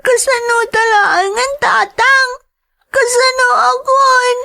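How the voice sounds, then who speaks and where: A woman's voice: very high-pitched, takes it slowly, gravelly, sharp tone, exaggerated voice. A woman playing a grieving young daughter of about nine in an audio drama, with a plaintive, childlike delivery. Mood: tearful.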